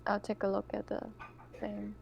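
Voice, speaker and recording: A woman's voice: medium pitch, completely flat delivery, moderate pace, gravelly, darkish tone, breathy edge. A woman playing a tabletop roleplaying game, chatting casually and hesitantly over a dull, lo-fi mic. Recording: dull lo-fi mic with background noise.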